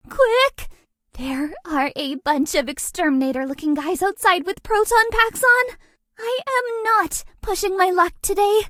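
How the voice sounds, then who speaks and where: High-pitched, sweet, shy ghost girl. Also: a bit bright, no echo, clean studio sound, full character voice. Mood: affectionate, playful. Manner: hesitant, bubbly, gushing, self-deprecating. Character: sweet, shy, apologetic young female ghost, cute and awkward. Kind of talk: cute video game character acting.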